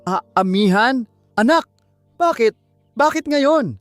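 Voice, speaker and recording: A man's voice: very high-pitched, animated, slow pace, no room sound, voice pushed up, heavily acted. Audiobook role: startled older father, voiced by a male narrator, raised faltering questioning voice over faint music. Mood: shocked.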